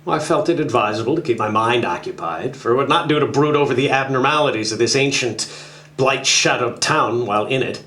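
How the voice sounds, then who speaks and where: A man's voice: mid-range voice, lively intonation, brisk, sharp tone. A man playing the methodical, apprehensive narrator of an old horror tale, in formal 1930s radio style. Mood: uneasy. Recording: roomy studio sound.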